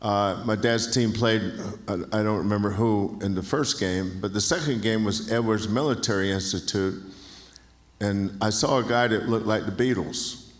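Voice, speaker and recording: A man's voice: fairly low pitch, moderate pace. A man in his sixties from North Carolina, a basketball coach, telling folksy stories in an onstage interview and often restarting phrases. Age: 60s. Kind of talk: onstage interview at an awards banquet.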